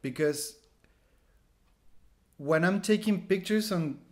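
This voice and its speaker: A man's voice: sits high, takes it slowly. A man in his thirties from Chile, speaking English with a Chilean accent as a second language, telling stories in a casual interview over a headset on a video call, full of fillers and restarts. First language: Spanish. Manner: rambling, frequent "like" fillers and restarts, animated gestures. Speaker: street photographer guest. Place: small room at home, indoors.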